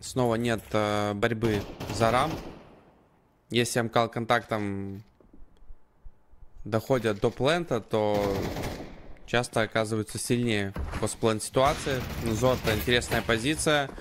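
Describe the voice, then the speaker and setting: A man giving live commentary and analysis on a video game match in measured, short phrases. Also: on the low side, fairly even intonation. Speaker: esports commentator. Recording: clear game gunfire and noise behind.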